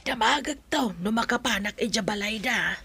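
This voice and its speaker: An actress with an Ilocano accent playing a fussing village mother in a radio drama, with a raspy, creaky voice. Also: on the high side, normal speed, bright, forceful tone. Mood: nosy, chiding.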